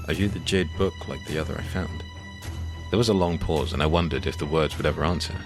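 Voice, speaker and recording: A man playing a weary, shaken occult adventurer, telling his ordeal in deliberate, brooding scripted narration. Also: rumbling low. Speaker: first-person narrator. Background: music.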